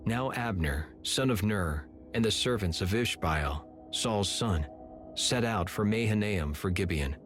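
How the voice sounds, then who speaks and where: Male narrator, low register, measured and solemn scripture reading over clear music. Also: expressive.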